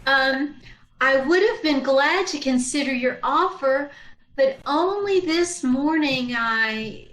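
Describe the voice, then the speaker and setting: A woman's voice: sits high, animated, slow pace, fairly bright tone, heavily acted. A woman playing a gullible, easily smitten schoolteacher in an old-time radio comedy, stammering and flustered, with dry wisecracks. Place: video call reading from a room. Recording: echoey room, clicks, background noise.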